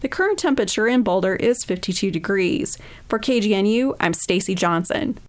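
A woman reading local news and the weather on a community radio station in an even, measured way. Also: medium pitch. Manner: even, measured newsreader delivery.

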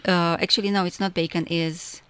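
Lower-voiced Romanian woman, enthusiastic. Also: level tone, fairly quick, pushed and bright, clear, resonant tone, no echo. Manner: enthusiastic, chatty, laughs often. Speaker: festival organizer guest. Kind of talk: casual radio interview. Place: radio studio.

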